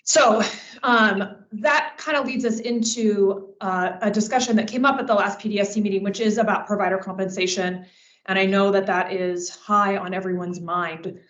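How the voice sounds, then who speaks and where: A woman's voice: medium pitch, fairly even intonation, moderate pace, fairly bright tone. Woman giving an update in echoey, dull audio. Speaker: government relations manager. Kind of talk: work conference call update. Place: remote conference call.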